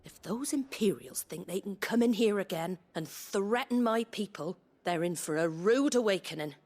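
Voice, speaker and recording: A woman's voice: mid-range voice, expressive, moderate pace, a little mellow. An actress playing a tough, blunt woman leader in a dramatic game cutscene. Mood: defiant, brisk. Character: tough, blunt tavern leader woman, guilt-ridden but defiant.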